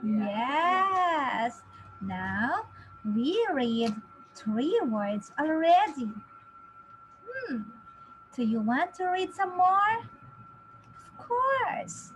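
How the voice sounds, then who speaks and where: A woman in her thirties giving a phonics lesson to young children, encouraging them with drawn-out, exaggerated enunciation. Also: high-pitched, big pitch swings, fairly bright tone. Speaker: reading teacher. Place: small indoor home teaching space. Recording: clearly noisy home webcam recording.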